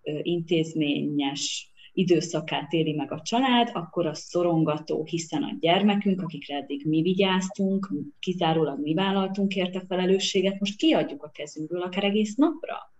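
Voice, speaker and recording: Earnest low-voiced woman psychologist. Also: few pauses, darkish tone, dull audio. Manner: earnest, emphatic, appealing to listeners. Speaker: child psychologist guest. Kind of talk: earnest podcast conversation.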